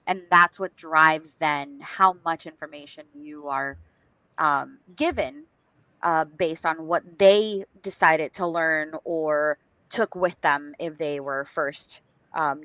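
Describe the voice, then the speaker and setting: A woman from Puerto Rico on a podcast panel, speaking in a measured, reflective way. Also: deep voice, sharp tone, no echo, dull audio. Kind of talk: casual panel chat.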